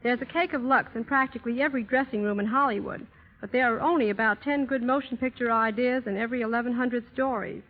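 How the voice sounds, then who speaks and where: A woman speaking as a guest in a scripted 1930s radio interview, formal and articulate. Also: on the high side. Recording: muffled old radio recording, long reverb.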